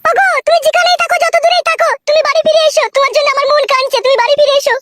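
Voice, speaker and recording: A woman's voice: very high-pitched, rapid-fire, very noisy. A woman playing a devoted, pleading, long-suffering wife in a comedy cartoon, submissive and insistent.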